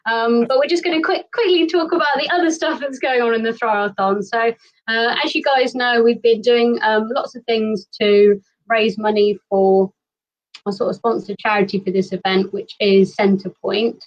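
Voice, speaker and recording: A woman with a British accent speaks in a fairly high voice, chatting informally as the host of an online event. The sound is a little dull and lo-fi, as on a video call. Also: steady tone, moderate pace. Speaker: host of an online fan convention. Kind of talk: casual livestream chat.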